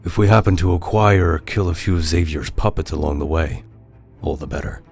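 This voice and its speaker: Man playing a gravelly, very deep villain boss. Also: brisk, a little mellow, faint music behind. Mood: cold, ruthless. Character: ruthless, arrogant male villain leader. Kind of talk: audio drama acting.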